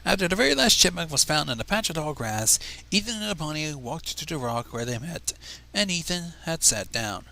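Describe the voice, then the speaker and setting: A man's voice: on the high side, big pitch swings, a little fast, creaky, bright, forceful tone. A man reading fan fiction aloud on a livestream, narrating expressively and dropping into casual asides. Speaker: livestream reader.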